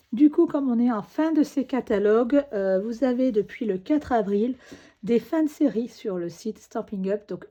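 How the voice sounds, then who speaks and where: A woman's voice: a little high, dark tone, dull audio. A woman with a cold, coughing now and then, chatting her way through a crafting tutorial with little fillers as she works. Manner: chatty, fillers like "hop" and "euh" while working. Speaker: crafting demonstrator.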